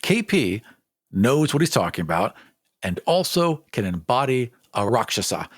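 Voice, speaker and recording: Man, middle-pitched voice, casual podcast conversation, emphatic and appreciative co-host, very dry recording. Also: big pitch swings, moderate pace, breathy edge, dry recording.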